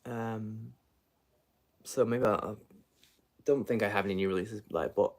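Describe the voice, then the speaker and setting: A man's voice: fairly low pitch, steady tone, unhurried, a little mellow. A man hosting an unscripted book livestream, chatting casually in a rambling, hesitant way and often trailing off mid-thought.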